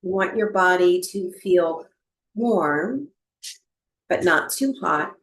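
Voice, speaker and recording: A woman leads a guided meditation in a low, soothing voice. She speaks very slowly and gently, leaving long pauses between short instructions. Her voice carries a clear roomy echo. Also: lively intonation. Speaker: yoga nidra and breathwork teacher. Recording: roomy, echoing voice recording.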